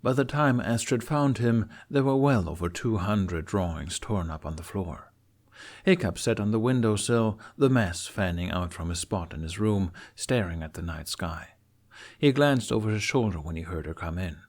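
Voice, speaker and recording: Low-voiced man narrating a story. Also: expressive, moderate pace. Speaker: podfic narrator. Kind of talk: expressive scripted story narration.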